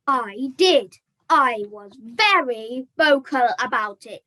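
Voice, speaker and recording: Young woman playing haughty high-voiced princess puppet. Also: lively intonation, slow, sharp tone, exaggerated voice. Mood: indignant. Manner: pompous, formal, articulate. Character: haughty, bossy princess puppet proposing a split. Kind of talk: scripted puppet comedy dialogue.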